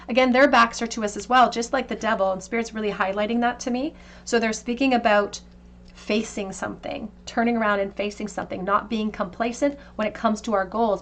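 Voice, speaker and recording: A woman reading tarot cards for her viewers, talking in a gentle, conversational, intuitive way. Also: medium pitch. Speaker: tarot reader. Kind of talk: tarot card reading to camera.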